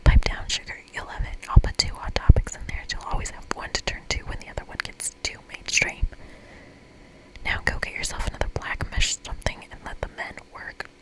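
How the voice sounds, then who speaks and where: A woman's voice: fairly quick, close to an everyday voice, rough recording. Woman whispering as a brash greedy businessman. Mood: smug, dismissive. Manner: gruff, bossy, condescending. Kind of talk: whispered ASMR game read-aloud.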